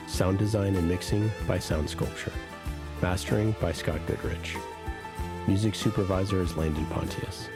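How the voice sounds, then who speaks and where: A man with a deep voice works as a podcast announcer. He reads scripted sponsor copy and credits. Music is clearly audible behind him.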